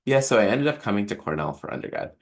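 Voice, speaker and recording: A man in his thirties from Jamaica, a professor answering interview questions thoughtfully, with frequent chuckles and pauses. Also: lowish voice, very animated. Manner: thoughtful, chuckles often, many ums. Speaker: professor guest.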